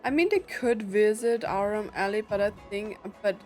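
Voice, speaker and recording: A woman's voice: medium pitch, animated, normal speed, darkish tone, soft background music. A woman giving casual, chatty commentary as a gaming streamer, reacting in short interjections.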